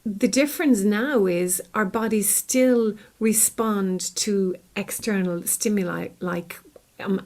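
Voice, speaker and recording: An Irish woman in middle age speaks as a podcast guest. She has a medium-pitched voice and explains ideas conversationally, the way a psychologist would, leaning on simple everyday examples. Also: a little mellow. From Ireland.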